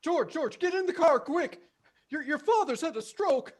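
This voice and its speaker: Very high-pitched, excitable uncle. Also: male voice, moderate pace. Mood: frantic. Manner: stammering, excitable. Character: flustered, excitable old uncle. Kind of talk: 1940s radio acting.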